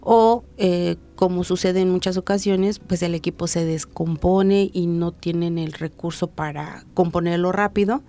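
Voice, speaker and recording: A woman physician with a Mexican Spanish accent, explaining patiently and didactically in an interview, slowing down to stress key points. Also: fairly low pitch, fairly even intonation, warm tone. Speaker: physician, hearing and speech specialist.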